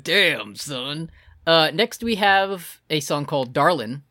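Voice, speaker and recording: Deep-voiced lively female co-host. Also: slow pace, dry recording, clean studio sound. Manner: animated, laughing, sarcastic. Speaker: podcast co-host. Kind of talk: casual music review chat.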